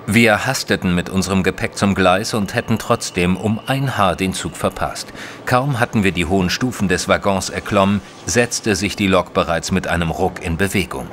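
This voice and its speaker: A man playing a reserved Victorian gentleman, a detective's companion, narrating an audio drama in a measured, formal way. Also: sits low, slight background noise. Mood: measured, descriptive.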